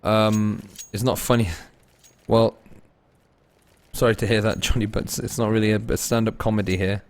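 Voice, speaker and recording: A man commentating solo on a live game stream, mixing play-calling with casual chat to viewers and shifting between excited and low-key delivery. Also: normal speed. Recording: noisy with game gunfire and clicks. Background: game gunfire and clicks.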